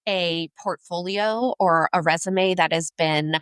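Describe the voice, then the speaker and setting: A woman's voice: fairly low pitch, measured pace, clean tone, no echo, clean studio sound. A woman speaking as a career coach in an interview, explaining point by point in an articulate, emphatic, conversational way. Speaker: career coach, podcast guest.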